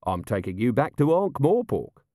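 A man voicing a gruff, sardonic watch commander with a blunt, dry manner in a storybook reading. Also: mid-range voice, sing-song, moderate pace, warm tone, dry recording, voice pushed up, natural voice. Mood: manic, relishing. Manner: blunt, dry, snarling when pressed.

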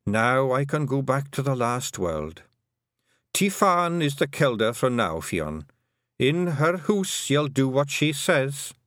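A man putting on a tiny old fairy matriarch for a storybook reading, pitched high above his own voice. She is bossy and shrewd, with a broad lilting rural brogue. The sound is close and dry. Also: very animated, slow pace, a bit bright, no echo. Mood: commanding. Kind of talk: audiobook character voice.

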